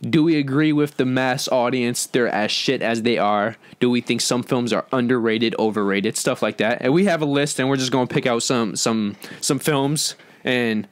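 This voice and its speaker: A man's voice: mid-range voice, moderate pace, smooth, no echo. A young man hosting a casual film discussion with friends, talking in an informal, slangy way. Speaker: podcast host.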